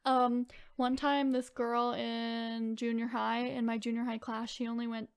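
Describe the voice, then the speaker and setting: A woman with a fairly high voice chats as a podcast co-host. She is informal and reactive, talking loosely as if to a friend. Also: flat delivery, unhurried, creaky, smooth. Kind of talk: casual chat.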